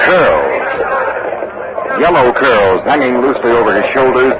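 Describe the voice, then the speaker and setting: An actor playing an earnest war correspondent, reporting live in a formal 1940s radio news style. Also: male voice, lowish voice. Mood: excited, watchful. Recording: muffled, very noisy old radio recording.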